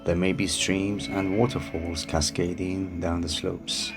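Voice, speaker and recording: A man narrating a guided meditation in a calm, soothing manner with unhurried phrasing. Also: low-pitched. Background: music behind the voice.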